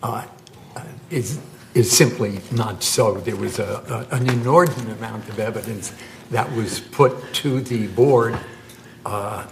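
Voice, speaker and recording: An older man, a board member, raising a formal objection at a community board meeting, measured and indignant and halting with frequent "uh". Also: fairly low pitch, gravelly, slight background noise.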